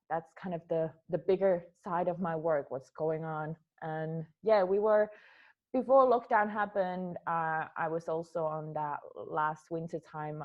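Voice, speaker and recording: Woman, Finnish accent, Finnish native speaker, dance artist being interviewed, hesitant, lower-pitched, muffled sound. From Finland. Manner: hesitant, repeats words while thinking. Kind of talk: interview answers.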